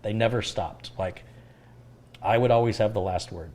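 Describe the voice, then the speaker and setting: Calm, low-voiced middle-aged man. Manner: calm, unhurried, reflective. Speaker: tech livestream host answering viewer questions. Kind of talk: casual live Q&A chat.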